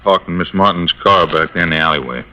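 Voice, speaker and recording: An actor playing a gruff, terse police lieutenant in a 1950s radio drama, with a deadpan delivery. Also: male voice, low-pitched, fast talker, muffled recording, a little hiss or hum. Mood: curt, sour.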